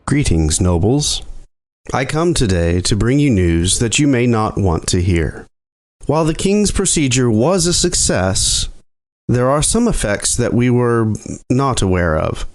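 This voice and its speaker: An actor playing a grave, formal chief scientist and court doctor in an audio drama, speaking deliberately and ominously. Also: male voice, mid-range voice, sing-song. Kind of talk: scripted audio drama acting.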